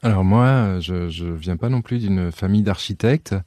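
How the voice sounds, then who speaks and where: A French man, an architect, answering interview questions in animated storytelling with frequent restarts. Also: deep voice, moderate pace, dry recording. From France.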